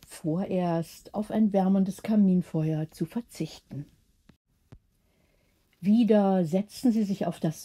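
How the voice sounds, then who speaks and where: A woman narrates a bedtime story in a very mellow, relaxed voice. Her tone is husky and breathy, and she reads at an unhurried pace in scripted audiobook style. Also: mid-range voice.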